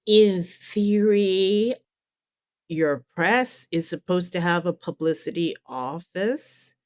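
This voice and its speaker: A woman's voice: lowish voice, takes it slowly, no room sound, studio quality. A middle-aged woman answering as an interview guest and academic author, candid, colloquial and emphatic.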